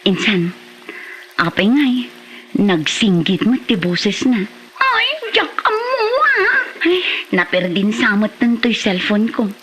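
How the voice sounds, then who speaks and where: High, animated Ilocano woman played by an actress. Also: slow, a little hiss or hum, heavily acted, low-quality recording. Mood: puzzled. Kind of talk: Ilocano comedy drama acting.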